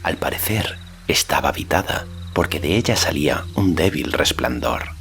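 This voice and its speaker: A man narrating a fantasy audiobook in a measured storytelling manner. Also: extremely low pitch, expressive, gravelly, a bit bright, a little hiss or hum. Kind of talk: scripted narration of a fantasy novel.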